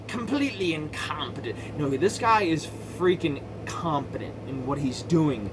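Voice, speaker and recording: A man's voice: a little high, noisy background. A man giving casual, rambling movie commentary in an opinionated, sweary and emphatic way. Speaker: amateur movie reviewer.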